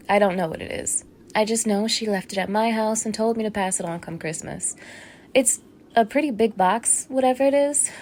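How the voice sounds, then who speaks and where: A woman acts out a young neighbour in an intimate ASMR roleplay, speaking at a middling pitch. She is casual and coaxing, teasing with a hushed edge, her friendliness covering an obsessive menace. Clear ambient noise sits behind the voice. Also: close to an everyday voice.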